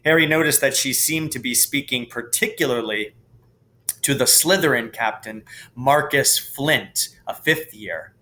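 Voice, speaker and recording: A man's voice: mid-range voice, unhurried, pushed and bright. A man reading a storybook aloud as scripted narration. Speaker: audiobook reader, teacher.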